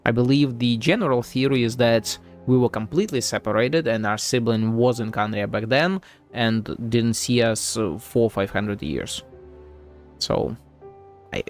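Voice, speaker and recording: Streamer commentary: informal, slangy, musing aloud, faint game music underneath. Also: mid-range voice. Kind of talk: casual playthrough commentary.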